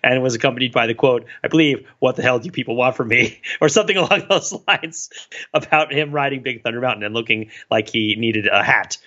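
Bright-voiced man, dull lo-fi sound. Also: medium pitch, quick pace. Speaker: podcast co-host. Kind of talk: casual podcast chat, storytelling. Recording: dull, lo-fi voice track.

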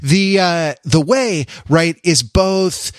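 A man's voice: a little high, slow pace, no echo, clean studio sound. A man co-hosting a podcast, talking casually and analytically, searching for words and rephrasing himself as he goes. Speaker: podcast co-host.

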